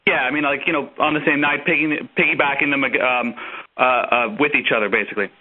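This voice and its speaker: A man's voice: medium pitch, fairly even intonation, fairly quick, husky, narrow, tinny audio. A man asking questions as a sports reporter in a tentative, hesitant manner. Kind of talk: spontaneous press questioning. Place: conference call over phone. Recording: thin telephone conference line.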